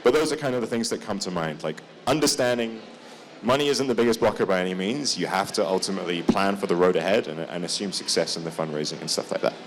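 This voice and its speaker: A male startup founder speaks at a conference showcase. He talks quickly in a somewhat low voice, and his phrasing is casual and full of "like" and "kind of" fillers. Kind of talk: conference talk. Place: conference stage.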